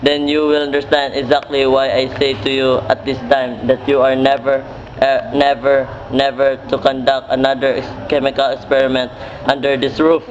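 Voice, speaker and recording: A man playing formal, middle-class patriarchs and academics in an amateur radio play, with measured wording. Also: mid-range voice, monotone, natural voice. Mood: stern, formal. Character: stern, formal father and kindly chemistry professor. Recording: muffled, clearly noisy amateur recording.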